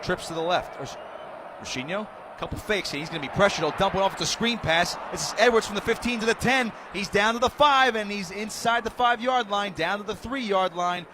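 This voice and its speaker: An American man doing live radio play-by-play of a football game in excitable, clipped running descriptions. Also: high-pitched, a little fast. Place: press box high above a stadium. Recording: loud stadium noise, outdoor broadcast.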